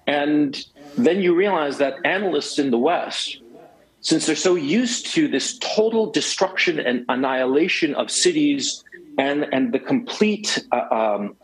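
A man's voice: a little high, lively intonation, unhurried, rough recording. A man in his forties from Chile, a guest talking emphatically on a cell phone, rambling with many restarts. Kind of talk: phone interview.

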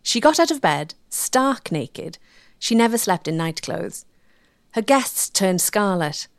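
A woman's voice: mid-range voice, sing-song, takes it slowly, no room sound, studio quality. A woman delivering scripted documentary narration as the narrator.

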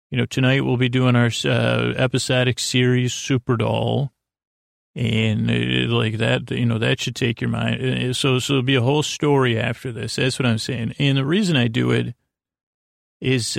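A man hosting a sleep podcast, rambling in a halting, meandering way with self-deprecating, mildly silly wordplay. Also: medium pitch, gravelly, no room sound, studio quality. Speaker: podcast host. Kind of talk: rambling bedtime monologue, loose storytelling.

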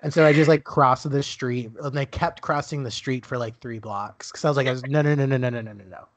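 A man's voice: medium pitch, steady tone, a little fast. Chatty young man telling a story. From Dallas, Texas. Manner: chatty storyteller, laughs often, many "like" fillers. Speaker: podcast co-host. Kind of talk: casual chat.

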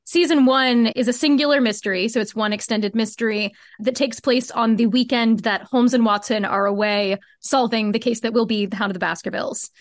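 A woman's voice: fairly high pitch, fairly even intonation, brisk, few pauses, no echo, clean studio sound. A woman talking casually as an interview guest, wry and self-deprecating. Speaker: podcast guest, fiction podcast co-creator.

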